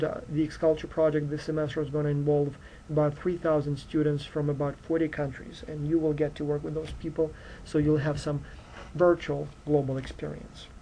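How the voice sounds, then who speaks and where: A man's voice: a little high, mellow, a little hiss or hum, low-quality recording. Ukrainian-accented male lecturer, earnest and direct. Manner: earnest, direct, frequent fillers like uh and you know. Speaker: university lecturer. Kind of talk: recorded course introduction lecture.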